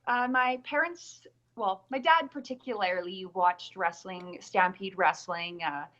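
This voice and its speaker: A woman's voice: mid-range voice, measured pace, a bit bright. A woman from Calgary with a mild Albertan Canadian accent, chatting earnestly as an interview guest with frequent ums. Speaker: guest, nurse and pageant winner. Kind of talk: casual interview answers. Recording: dull lo-fi call audio.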